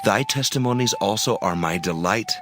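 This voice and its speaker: A man performs a dramatized scripture reading in a slightly low voice. His pitch moves widely, and music plays clearly behind him. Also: animated, moderate pace. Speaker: scripture reader. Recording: reading over a music bed.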